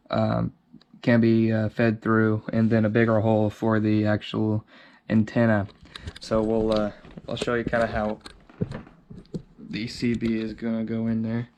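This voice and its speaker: A man's voice: on the low side, a little mellow. A man hosting a casual DIY video, narrating informally and thinking aloud as he works with his hands. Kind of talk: casual how-to narration while working. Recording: clear background noise with handling clicks.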